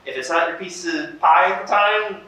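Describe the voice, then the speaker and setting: A man's voice: high voice, moderate pace, sharp tone. A man on a convention panel giving blunt, direct advice. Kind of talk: casual panel discussion. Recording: echoey hall from far off with faint noise.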